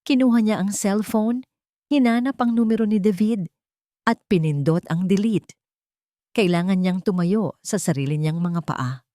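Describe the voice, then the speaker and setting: A woman's voice: medium pitch, normal speed, mellow, no room sound, clean studio sound. A woman reading scripted, dramatic narration as a storyteller.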